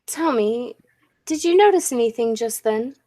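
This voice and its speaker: A woman playing a bold, absorbed professor and inventor in a 1940s-style radio play, with a deliberate, commanding, theatrical delivery. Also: fairly high pitch, lively intonation, mellow. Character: Dudley, bold, absorbed scientist and professor inventor of a time machine.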